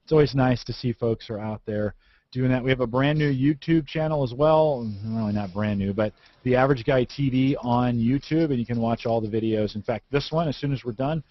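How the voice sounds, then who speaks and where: Man, podcast host, middle pitch, rambling and chatty, dry close studio sound. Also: brisk, no echo, dull audio. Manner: chatty, rambling with many fillers and restarts. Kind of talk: casual tech podcast chat.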